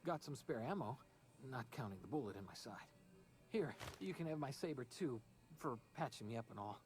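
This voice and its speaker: An actor playing a wounded, officious frontier guard in a video game, folksy and earnest, reciting company patter in a drawl. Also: male voice, medium pitch, animated, a little fast, close to an everyday voice. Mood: weary, pained. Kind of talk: video game character acting.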